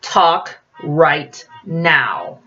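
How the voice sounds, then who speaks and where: A woman's voice: sits low, lively intonation, drawn-out words, sharp tone, soft background music, full character voice. A woman voicing an angry, accusing man in a fiction reading, with a snappish, indignant manner. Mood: indignant anger. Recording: dull lo-fi audio.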